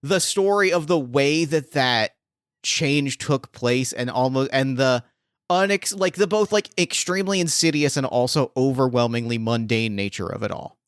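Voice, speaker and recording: A man's voice: mid-range voice, normal speed, clean tone, no room sound, clean studio sound. A man hosting a podcast as game master, talking casually and often restarting as he searches for words. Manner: rambling, gropes for words with many restarts.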